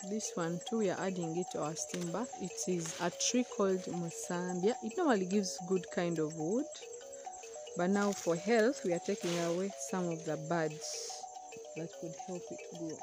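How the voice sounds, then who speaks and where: A woman's voice: on the low side, moderate pace. Young woman, chatty village vlogger explaining plants, outdoor phone audio with music. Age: young adult. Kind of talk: casual vlog walkthrough. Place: outdoors in a rural field. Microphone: phone camera, often held at arm's length.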